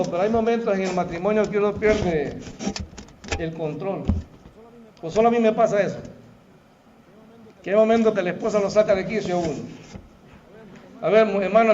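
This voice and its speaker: High-voiced Salvadoran pastor preaching. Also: male voice, darkish tone, highs cut off, slight background noise. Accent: Salvadoran Spanish. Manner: conversational, addresses the congregation as "hermano". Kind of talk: sermon. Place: church hall.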